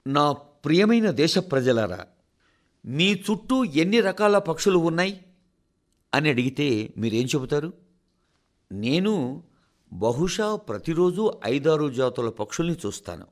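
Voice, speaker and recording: A man reads a formal scripted radio address as a presenter, in a measured, rhetorical way that speaks directly to listeners. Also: a little high, sing-song. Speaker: radio presenter reading a translated national address.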